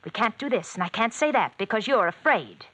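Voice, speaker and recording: An actress playing a bored, thrill-seeking wife who taunts coolly and teasingly in an old-time radio mystery. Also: female voice, medium pitch, very animated, brisk, fairly bright tone, no echo. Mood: scornful, goading.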